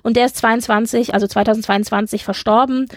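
A woman's voice: on the high side, steady tone, a little fast, dry recording, clean studio sound. A woman chatting enthusiastically and casually as co-host of a fan podcast about a TV show. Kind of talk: casual fan chat recapping a TV episode.

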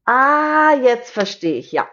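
Slightly muffled, high-voiced woman podcasting. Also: sing-song, slow pace, sharp tone. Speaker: podcast co-host. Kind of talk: casual fan podcast chat. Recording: slightly dull, lo-fi microphone sound.

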